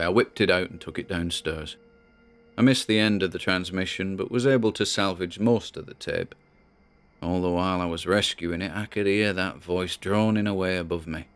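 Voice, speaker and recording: A British man playing an obsessed amateur investigator, musing conversationally into an audio diary in a drama. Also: low voice. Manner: conversational, musing, muttered asides.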